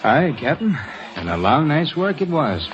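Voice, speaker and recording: A man's voice: on the high side, big pitch swings, moderate pace, background noise. An actor playing a hardy, obedient ship's officer reporting to his captain in an old-time radio drama. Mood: brisk, obedient. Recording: dull, lo-fi old radio recording.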